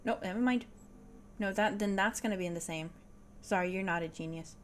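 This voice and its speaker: A woman's voice: medium pitch, a little fast. A woman with a Swedish accent, Swedish being her first language, streaming a puzzle game and thinking aloud, often dropping to a whisper. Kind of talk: casual puzzle game livestream.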